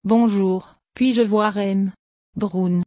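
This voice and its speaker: A woman reading short French questions for a language lesson in a clear, scripted way. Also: medium pitch, steady tone, drawn-out words, mellow, no echo. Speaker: French dialogue reader.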